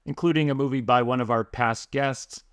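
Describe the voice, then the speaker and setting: A man's voice: mid-range voice, fairly even intonation, moderate pace, fairly bright tone, clean tone, dry recording, clean studio sound. A man hosting a podcast, asking long conversational questions. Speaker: podcast host. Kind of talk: interview questions.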